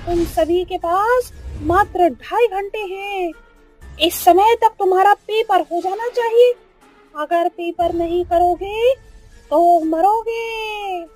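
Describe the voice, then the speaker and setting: A woman voicing a bossy, menacing female witch who acts as an exam teacher in a cartoon, making drawn-out threats. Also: very high-pitched, a little mellow. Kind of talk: Hindi cartoon voice acting. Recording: background music.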